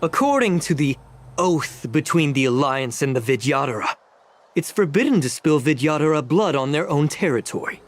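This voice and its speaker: Haughty scheming elder, very animated. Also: male voice, a little high, moderate pace. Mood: accusing, defiant. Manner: haughty, theatrical, formal. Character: scheming, self-righteous male elder villain of a dragon clan. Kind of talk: video game voice acting. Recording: game music behind the voice.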